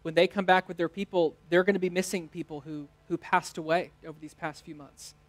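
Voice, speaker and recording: A man's voice: on the high side, fairly even intonation, normal speed. A man in his thirties with an American accent, a pastor giving a sermon in short phrases. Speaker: teaching pastor. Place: church stage, indoors.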